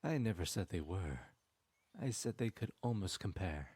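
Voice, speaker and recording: A man voicing a sly, smooth-talking male trickster god in an audio roleplay, speaking in a silky, teasing and persuasive way. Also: low-pitched, expressive, moderate pace, a little mellow, dry recording. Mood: scheming.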